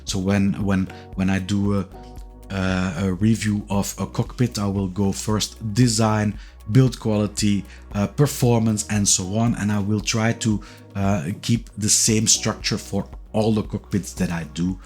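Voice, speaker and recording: Man in his 40s, Dutch accent, fairly low voice, relaxed and hesitant, faint music underneath. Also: unhurried. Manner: relaxed, hesitant, many "uh" and "yeah" fillers and repeated words. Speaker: YouTube reviewer of sim racing gear. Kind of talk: casual talking-head channel intro. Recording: faint music bed behind the voice.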